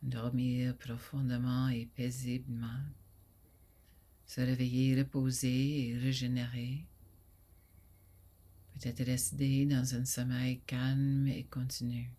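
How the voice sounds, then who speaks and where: A woman guiding a sleep meditation in a soft, soothing voice that often drops to a breathy near whisper, with long pauses. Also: rumbling low, flat delivery. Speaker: yoga nidra guide.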